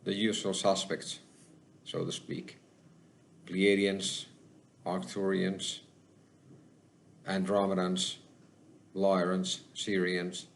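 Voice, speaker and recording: A man with a Finnish accent, hosting his own channel and talking alone to the camera, slow and halting, with many pauses. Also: low voice, monotone, gravelly, a little hiss or hum. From Finland. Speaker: channel host. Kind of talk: solo spoken monologue.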